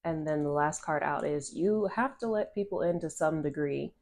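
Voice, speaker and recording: A woman's voice: low-pitched, normal speed, darkish tone. A woman with a stuffy, nasal voice from allergies, giving a casual channeled tarot card reading to a phone camera, rambling with restarts and a lot of "like". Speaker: tarot reader.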